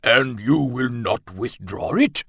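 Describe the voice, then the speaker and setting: Casting: pompous retired colonel played by a man, older, blustering, formal and indignant, forceful delivery for audiobook fiction. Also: medium pitch, very animated, normal speed, no echo, clean studio sound, voice pushed up, heavily acted. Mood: shocked, indignant.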